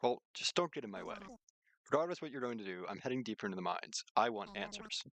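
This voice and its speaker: A man's voice: on the low side, animated, fast talker, creaky, fairly bright tone, no echo. A man voicing a brusque, troubled male investigator in a visual novel, terse and brooding. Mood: curt.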